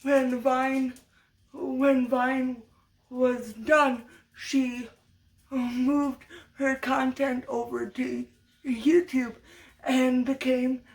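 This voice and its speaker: A young woman losing her voice to allergies, talking through an unscripted commentary vlog in a halting way, full of restarts. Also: sits high, flat delivery, rough recording. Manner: halting, many restarts and stutters.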